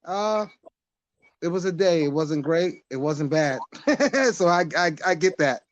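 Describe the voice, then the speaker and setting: A man's voice: on the high side, animated, measured pace, no echo. A man from New York hosting a casual live-stream podcast, rambling and interrupting himself, with frequent laughs.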